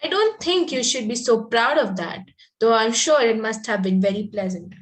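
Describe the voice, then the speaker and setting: A woman's voice: a little high, animated, normal speed, natural voice. A woman reading an earnest, precocious young Victorian lady in a comedy table read, formal with some stumbles over the script. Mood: prim, earnest.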